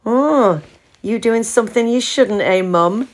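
A woman's voice: on the high side, a little slow, pitched above the performer's own voice. A woman voicing a cocky teenage son with a cheeky, swaggering manner in an audio story.

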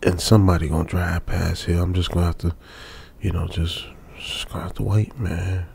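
Man acting a deep, gravelly, uneasy young trucker. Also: level tone, normal speed, a little mellow, a little hiss or hum. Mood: resigned. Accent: American. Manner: muttering to himself, colloquial, repeating phrases. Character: young male trucker, stranded, uneasy, talking to himself. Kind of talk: old-school radio drama monologue, improvised feel.

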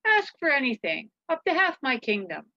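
A woman plays a generous storybook king, regal and emphatic. The voice is high for a king and recorded dry, a little dull. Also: very animated, moderate pace, no echo. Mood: welcoming. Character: generous, protective male king of a storybook kingdom.

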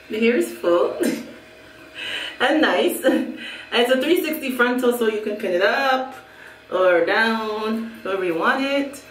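Jamaican lady chatting about her wig, laughs a lot, kinda high voice, roomy echo. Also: distant mic, slight background noise. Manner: chatty, laughs often, repeats herself. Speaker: hair vlogger. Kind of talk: casual product review. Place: small room at home, indoors. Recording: echoey room, a little off mic.

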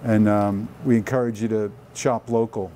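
Bright-voiced man co-hosting a cooking show. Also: fairly low pitch, steady tone, normal speed. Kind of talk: casual cooking demonstration chat. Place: large indoor demonstration kitchen. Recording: faint background noise.

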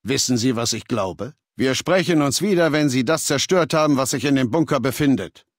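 An actor playing a commanding, ruthless middle-aged warlord in a video game, authoritative and deliberate, with a gravelly, husky voice. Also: male voice, mid-range voice, lively intonation, no echo, clean studio sound, lightly acted. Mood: stern, authoritative. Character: commanding, ruthless male warlord, leader of a legion. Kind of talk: dramatic video game voice acting, German dub. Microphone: close, dry studio recording.

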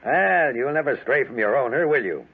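An actor playing a leering, folksy cattle butcher with an oily manner in a 1940s radio drama. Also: male voice, mid-range voice, animated, moderate pace, husky. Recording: muffled 1940s radio recording.

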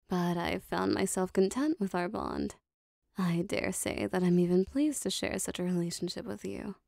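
A woman playing a powerful, possessive female demon devoted to her master, speaking formally and deliberately in an ASMR roleplay. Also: medium pitch, creaky, dark tone, no room sound, clean studio sound, natural voice. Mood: content, devoted. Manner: formal, deliberate, intimate phrasing.

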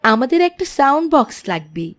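Woman as a lively, high-voiced polite little boy. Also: moderate pace. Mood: polite, keen. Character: polite, keen young schoolboy who saves his pocket money. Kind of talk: children's moral cartoon dubbing.

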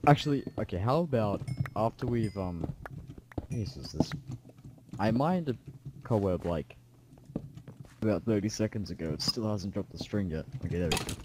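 Low-voiced man gaming, noisy mic. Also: expressive, moderate pace, pauses often. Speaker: gamer in a team match. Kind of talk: casual gaming chat. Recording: noisy mic with keyboard clicks.

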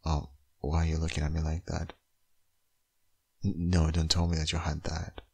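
A man playing a tender, wistful young father still in love with his ex in a boyfriend ASMR roleplay, speaking hesitantly in an intimate, husky, gravelly murmur. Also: rumbling low, monotone, moderate pace, dark tone, full character voice. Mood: hurt, wistful. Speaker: audio roleplay voice actor.

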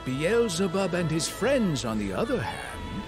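Old demon attendant, lively pitch. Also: male voice, unhurried. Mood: narrating. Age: older. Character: fussy elderly demon attendant, loyal, easily flustered storyteller. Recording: music behind the voice.

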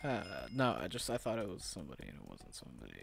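Lively young man streaming, joking. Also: low-pitched, very fast, creaky, a crowd in the background. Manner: joking, swears often, bursts into laughter. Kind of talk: casual gaming stream banter.

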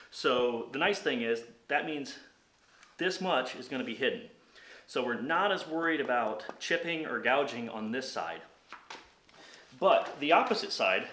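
A man in his thirties talks through a woodworking job step by step, in an ordinary mid-range voice. His voice bounces around the hard walls of a cluttered home workshop. Tool noise and clatter sit clearly behind him, and he is picked up by a camera several metres off. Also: mid-range voice, reverberant. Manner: explains steps plainly while working. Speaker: woodworking channel host. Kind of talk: hands-on workshop tutorial. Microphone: camera on a tripod a few metres away. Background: power tool and workshop noise.

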